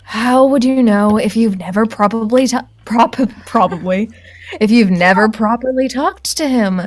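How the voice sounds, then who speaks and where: A woman's voice: a little high, moderate pace, natural voice. A woman voicing a nosy, pushy female demon in a visual novel, with a sassy, slightly Valley Girl manner. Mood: pushy, exasperated.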